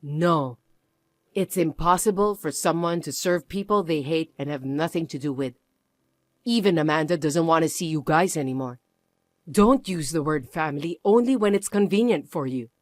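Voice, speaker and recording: A voice actor playing a protective middle-aged mother who speaks in a low, cold and clipped way. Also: normal speed, no room sound, natural voice. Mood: firm. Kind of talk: dramatized story reading.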